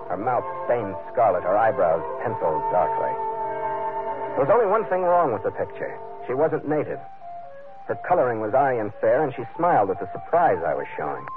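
An actor playing a terse, wry sea captain in a 1940s-style radio adventure, both narrating and speaking in scenes. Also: male voice, mid-range voice, big pitch swings, fairly quick, close to an everyday voice. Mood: moody narrating. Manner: terse, sardonic, tough-guy delivery. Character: hard-boiled, wry sea captain telling his adventure. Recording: narrow vintage radio recording, music behind.

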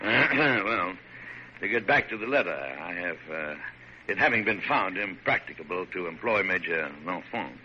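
An actor playing a dignified, kindly but firm older American President, speaking formally and with measure in a classic radio drama. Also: male voice, sits low, expressive, pushed and bright, full character voice. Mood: regretful. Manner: formal, measured, hesitant at times. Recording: noisy, dull vintage radio recording.

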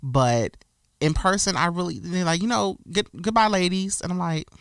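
A man's voice: sits high, moderate pace, gravelly, a bit bright, smooth, no room sound, clean studio sound. Frank, emphatic man in casual talk. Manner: frank and emphatic, with many restarts. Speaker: podcast guest. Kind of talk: casual discussion.

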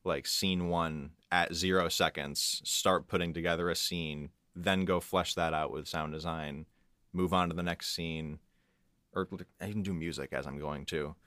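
A man's voice: deep voice, fairly even intonation, unhurried, bright, forceful tone, no echo, studio quality. A man speaking as an interview guest in casual chat, explaining things in a loose, informal way. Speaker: guest, audio engineer and composer.

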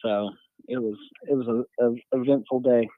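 Hoarse guy rambling laid-back, tinny phone sound. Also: medium pitch, fairly even intonation, normal speed, dry recording. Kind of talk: casual chat.

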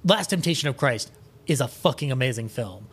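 A man's voice: mid-range voice, expressive, a little fast, creaky, breathy edge. A man chatting casually as a podcast guest, emphatic and enthusiastic, often restarting mid-thought as he gives his opinions. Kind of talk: casual opinionated chat about music and film.

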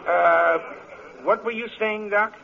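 A man's voice: sits high, steady tone, slow, vocal fry, exaggerated voice. A man acting in an old-time radio comedy as a stubborn, bossy police officer who goes by the book. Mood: insistent. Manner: bossy, insistent, emphatic. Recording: dull vintage radio broadcast.

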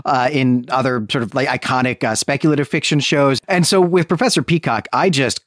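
A man's voice: medium pitch, brisk, a bit bright, dry recording, clean studio sound. A man hosting a podcast in casual discussion, talking in a chatty, enthusiastic, rambling way. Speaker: podcast host.

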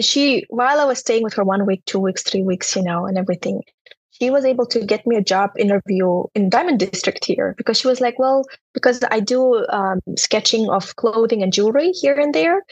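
A woman's voice: medium pitch, husky, no echo, low-quality recording. A young woman from Turkmenistan, a podcast guest earnestly telling her personal story in casual talk.

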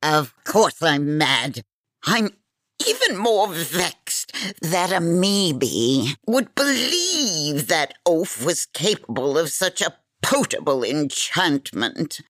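A woman's voice: deep voice, big pitch swings, slow, fairly bright tone, dry recording, full character voice. A woman playing a cantankerous old crone who narrates a fantasy tale in pompous, wordy phrases. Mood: haughty, vexed. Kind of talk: theatrical storybook narration.

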